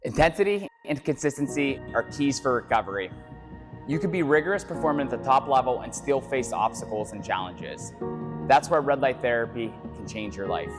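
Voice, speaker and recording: A man with a mid-range voice reads a scripted testimonial for an advert. Loud music plays beneath him. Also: medium pitch, lively intonation, fairly quick. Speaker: advert spokesman.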